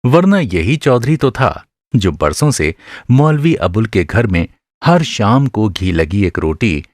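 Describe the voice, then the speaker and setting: A man narrating a literary short story for a radio drama, with expressive, measured delivery and careful phrasing. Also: on the low side, no room sound, clean studio sound.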